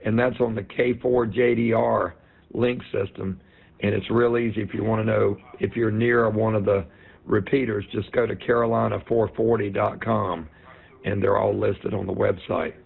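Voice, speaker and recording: A man's voice: on the low side, fairly even intonation, normal speed, gravelly, husky. A man giving a check-in report as an amateur radio operator on a net. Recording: dull lo-fi radio link audio.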